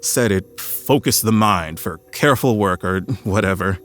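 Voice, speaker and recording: An actor playing a grumpy, sardonic fossil scientist with a hidden grief, in an audio drama. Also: male voice, lowish voice, a bit bright, soft background music, lightly acted. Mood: wry, fond. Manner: sardonic, halting when emotional. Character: grumpy, wry male fossil scientist, grieving his colleague.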